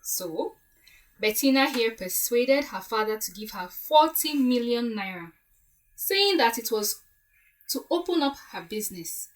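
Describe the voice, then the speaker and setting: A woman playing a shrewd, assured woman who deliberately lays out a case in a story drama. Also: on the high side, very animated, recorded from a distance, low-quality recording. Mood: assured, accusing. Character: shrewd woman calmly exposing a plot.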